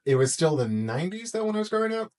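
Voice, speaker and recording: A man's voice: high-pitched, very animated, fast talker, darkish tone. A middle-aged American man from Texas talking casually as a podcast guest in an interview. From Texas, United States.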